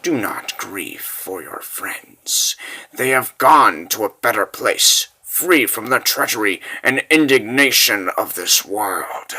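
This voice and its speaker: A man voicing a menacing, vengeful monk villain in a comic dub, speaking in a solemn, grandiose and deliberate way. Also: on the low side, expressive, pushed and bright, pitched below the performer's own voice, exaggerated voice, low-quality recording. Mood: solemn menace.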